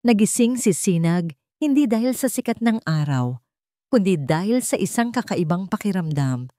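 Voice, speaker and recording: A woman with a Filipino accent narrating a dramatic story in a measured, suspenseful storytelling manner. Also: mid-range voice, lively intonation, mellow, no room sound, clean studio sound. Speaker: audiobook narrator.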